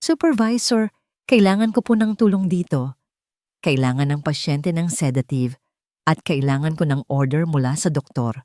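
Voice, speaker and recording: A woman's voice: sits low, normal speed, dark tone, no echo, studio quality, close to an everyday voice. A woman voicing a capable young female nurse who speaks politely but firmly. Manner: polite, firm and urgent.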